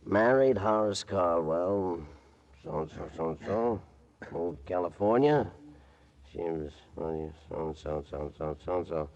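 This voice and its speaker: Fussy, low-voiced newspaper file clerk. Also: male voice, slow pace, darkish tone, lo-fi sound. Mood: droll, plodding. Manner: pedantic, droll, meandering. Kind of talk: 1940s radio drama acting.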